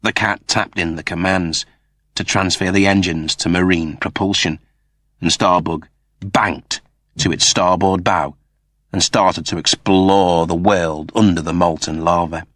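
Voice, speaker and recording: A man's voice: low-pitched, steady tone, a little slow, a bit bright, dry recording, clean studio sound. A man reading a story as a narrator, in a dramatic scripted style. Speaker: audiobook narrator.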